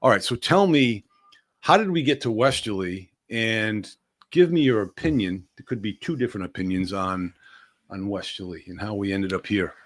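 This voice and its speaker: A middle-aged man co-hosts a casual local interview. He is chatty and curious, readily chiming in and asking question after question in a medium-pitched voice. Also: animated, normal speed. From Westerly, United States. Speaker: show co-host.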